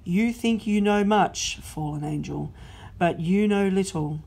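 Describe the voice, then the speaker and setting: A woman voicing God as a stern, loving father-king who speaks slowly and with great emphasis. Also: mid-range voice, creaky, warm tone, breathy edge, heavily acted. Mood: commanding. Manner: commanding, slow and emphatic.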